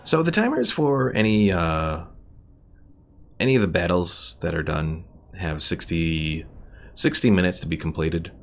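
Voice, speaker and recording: A man's voice: low-pitched, a little slow, gravelly. A man streaming a strategy game, chatting casually through a battle and answering a viewer's question. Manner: relaxed, playful sound effects. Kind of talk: casual gameplay commentary. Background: game music.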